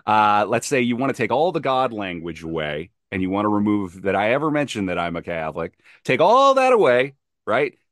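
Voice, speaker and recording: A man with a fairly low voice talks as a guest on a casual podcast. He is emphatic and opinionated, doubling back on phrases to drive them home. The sound is dry, with little room around it. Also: sing-song, fairly quick, fairly bright tone, no echo. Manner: emphatic, opinionated, repeats himself.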